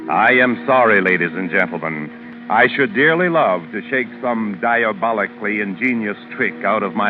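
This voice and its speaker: An actor playing a proud, calculating New York criminal lawyer with a guilty secret, narrating in a measured, articulate 1940s radio drama style. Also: male voice, lowish voice, lightly acted. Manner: measured, articulate, self-important. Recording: narrow, muffled vintage radio recording with music.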